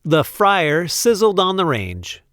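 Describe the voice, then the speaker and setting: Animated man reading aloud, slow and deliberate. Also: high-pitched, dry recording, studio quality. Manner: deliberate, playful emphasis on repeated sentences. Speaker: read-aloud storyteller. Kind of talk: expressive picture book read-aloud.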